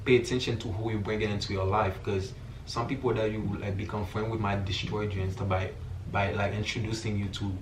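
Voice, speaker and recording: A man's voice: lowish voice, little pitch movement, fairly quick. A young man from Haiti with a Haitian accent, talking casually and earnestly to viewers in informal, slangy speech. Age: young adult. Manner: informal, slangy, repeats filler phrases like "you feel me". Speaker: vlogger. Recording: roomy echo, distant mic, faint noise.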